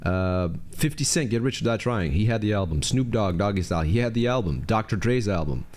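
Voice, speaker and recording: Deep-voiced man chatting. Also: brisk. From Belgium. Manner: relaxed and chatty, with fillers and restarts. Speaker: reaction video host. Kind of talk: casual reaction commentary.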